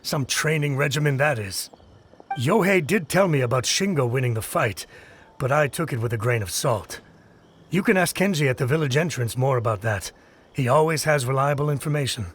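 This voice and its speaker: An actor voicing a dismissive, gossipy middle-aged villager man in a game, with a husky voice. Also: mid-range voice, lively intonation, moderate pace, a little hiss or hum, lightly acted. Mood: scornful. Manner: dismissive, scoffing.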